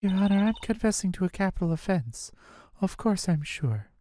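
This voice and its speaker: A stiff, resigned female prosecutor from a video game, voiced by a woman. Her tone is mellow and dark, her phrasing formal and controlled, with music underneath. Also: medium pitch, sing-song, moderate pace, a little hiss or hum, close to an everyday voice. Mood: stiff, firm. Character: stiff, resigned adult female chief prosecutor hiding anguish. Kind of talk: amateur video game voice acting.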